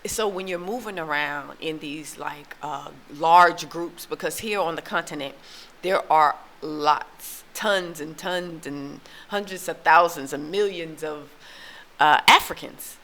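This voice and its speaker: A woman's voice: low-pitched, slow pace, fairly bright tone. An American woman living abroad, talking casually to her viewers in a rambling opinion monologue full of fillers and restarts. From United States. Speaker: relocation vlogger. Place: living in Tanzania.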